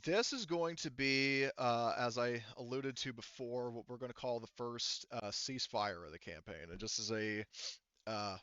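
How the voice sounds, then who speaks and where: Clear-voiced man running a game, echoey room. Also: medium pitch, a bit bright, dull audio. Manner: halting, explanatory. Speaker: game master. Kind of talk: narrating a tabletop roleplaying game.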